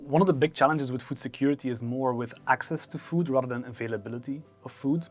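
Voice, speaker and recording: A man's voice: mid-range voice, fast talker. A man giving thoughtful, emphatic interview remarks as a conference expert.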